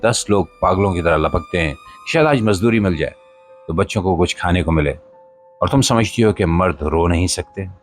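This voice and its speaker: Man, low-pitched voice, emphatic rhetorical spoken-word narration, solemn, pausing between phrases, backing music. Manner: emphatic, rhetorical, solemn delivery with short pauses. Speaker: podcast host and voiceover narrator. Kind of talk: scripted spoken-word monologue, dramatic narration. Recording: voice-over with music behind.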